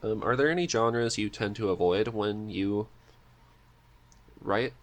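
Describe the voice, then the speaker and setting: A man's voice: fairly low pitch, a little slow. A man hosting a casual interview chat, with a lightly teasing, dryly joking manner. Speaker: podcast host, interviewer.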